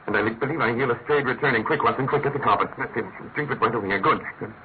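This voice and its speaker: An actor playing a shrewd, imperious master detective in a classic radio drama, incisive and urgent. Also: male voice, medium pitch, quick pace, lightly acted. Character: brisk, commanding, shrewd male master detective. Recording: tinny, narrow-band old radio, faint noise.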